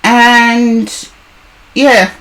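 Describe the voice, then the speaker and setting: A hoarse woman, chatting casually on a live stream as a tarot reader, greeting and answering viewers by name. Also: a little high, flat delivery, extremely slow, fairly bright tone.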